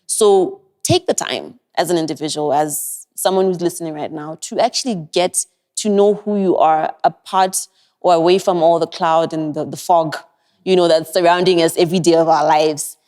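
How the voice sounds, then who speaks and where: A woman's voice: deep voice, steady tone, moderate pace, a little mellow. Reflective woman with an American-sounding accent. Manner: reflective, earnest advice with emphatic repetition. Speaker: guest. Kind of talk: podcast interview.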